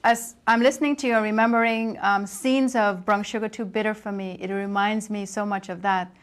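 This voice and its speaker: A woman's voice: medium pitch, steady tone, normal speed. A woman interviewing a guest in a conversational style in a TV studio.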